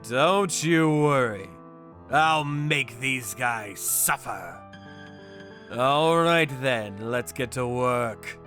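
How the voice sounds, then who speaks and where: Stern manga vigilante narrator, played by a man: medium pitch, unhurried, grimly deliberate, sharp-edged delivery. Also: expressive, soft background music, heavily acted. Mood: resolute. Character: stern, brooding male avenger narrating his hunt. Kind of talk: dramatic scripted first-person narration.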